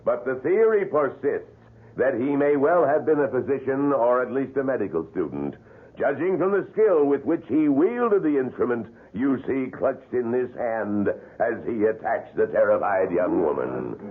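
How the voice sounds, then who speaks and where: An actor playing a polite, evasive tour guide at a wax museum of crimes. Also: male voice, medium pitch, sing-song, moderate pace, husky. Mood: theatrical. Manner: showman patter, polite. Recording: tinny 1970s radio broadcast.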